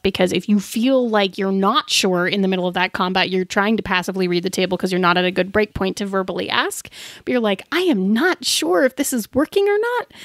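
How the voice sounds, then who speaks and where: A woman's voice: mid-range voice, dry recording, clean studio sound. A woman co-hosting a podcast in a casual, playful and informal way. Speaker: podcast co-host.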